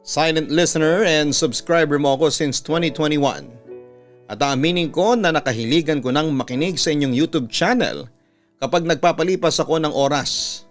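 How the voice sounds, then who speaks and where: A man's voice: fairly high pitch, moderate pace. A Filipino man, a radio announcer, reading out a confessional letter as a dramatic storyteller. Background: background music.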